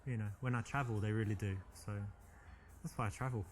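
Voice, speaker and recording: A man's voice: fairly low pitch, fairly even intonation, brisk, faint music behind, natural voice. An actor with an English accent playing a cocky young British man chatting up a woman in a video game, boastful and smug. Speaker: game character. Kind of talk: full-motion video game acting.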